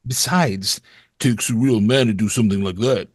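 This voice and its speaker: A man playing a witty, sarcastic high-school boy in a table read of a slasher script. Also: normal speed, natural voice. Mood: wisecracking. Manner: wisecracking, sarcastic.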